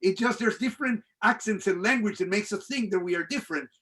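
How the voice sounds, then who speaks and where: High-voiced man. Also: a bit bright. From Venezuela. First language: Spanish. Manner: halting, many restarts and fillers. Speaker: playwright and director. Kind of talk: panel discussion.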